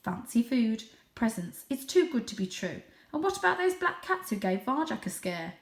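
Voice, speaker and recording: A woman's voice: fairly high pitch, sing-song, moderate pace, voice pushed up. Lady doing an old grandpa cat from a storybook, grave n urgent, bit of room echo. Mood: suspicious, grave. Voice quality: croaky at times. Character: aged male cat, worried, pleading family elder.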